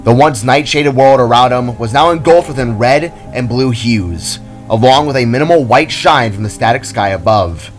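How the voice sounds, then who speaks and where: A man's voice: mid-range voice, lively intonation, normal speed, hardly any pauses. Male narrator, dramatic scripted storytelling, mid-range voice, faint background music. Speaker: author and narrator. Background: soft music.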